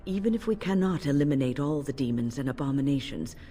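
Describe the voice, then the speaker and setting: A woman playing a grave, protective senior mage in a video game, speaking in a measured, solemn way. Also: a bass voice, lively intonation, dark tone. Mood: solemn, resolute. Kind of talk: video game dialogue acting. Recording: long reverb, music underneath. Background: game music.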